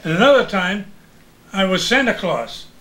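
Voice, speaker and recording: A man's voice: high voice, big pitch swings, normal speed, pushed and bright. An older man, a veteran radio announcer, reminiscing in casual chat.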